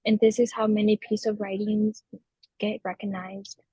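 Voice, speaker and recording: A woman's voice: mid-range voice, little pitch movement, normal speed, warm tone, breathy edge, no room sound, low-quality recording. An eighteen-year-old woman explaining her views earnestly in a student podcast discussion. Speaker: student panelist.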